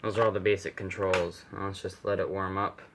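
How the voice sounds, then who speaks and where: Casual male vlogger, lowish voice, unscripted show-and-tell over clearly audible background noise and clicks. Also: level tone, a little fast, fairly bright tone. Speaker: amateur vlogger showing a vintage radio. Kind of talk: casual off-the-cuff demonstration.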